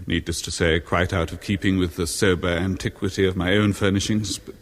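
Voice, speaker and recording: An actor playing a bitter, pompous ageing English husband in a radio horror drama, speaking in florid, scornful, theatrically precise phrases. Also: male voice, low voice, steady tone, fairly quick, natural voice. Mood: sardonic disgust. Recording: long reverberant echo on 1970s radio recording.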